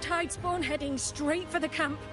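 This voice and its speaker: A young female soldier in a video game, very high in pitch and urgent. She speaks formally over prominent music. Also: steady tone, measured pace.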